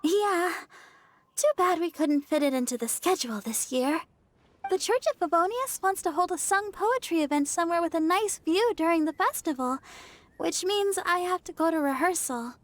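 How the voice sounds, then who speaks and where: A woman voicing a sweet, earnest, modest young church songstress in a video game, light and girlish, gentle and polite. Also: very high-pitched, expressive, moderate pace. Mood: eager, thoughtful. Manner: gentle, polite, slightly bashful. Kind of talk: video game cutscene acting. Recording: music behind the dialogue.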